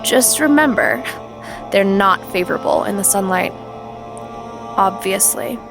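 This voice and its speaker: A woman playing a sly, scornful dark sorceress with a mocking, menacing drawl in a fantasy audio drama. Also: mid-range voice, animated, unhurried, gravelly, music in the background. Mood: cool condescension.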